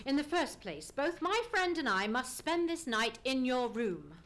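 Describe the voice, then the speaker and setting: An actor playing a commanding, precise gentleman detective in an old-time radio play, with formal, emphatic diction. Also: high voice, big pitch swings, unhurried, exaggerated voice. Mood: commanding, instructive. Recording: live stage radio play recording.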